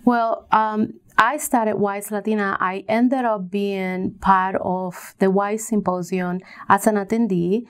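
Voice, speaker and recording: A woman from Puerto Rico giving conversational answers as an interview guest, pausing often as she tells her story. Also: medium pitch, steady tone, smooth. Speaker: interview guest, business coach.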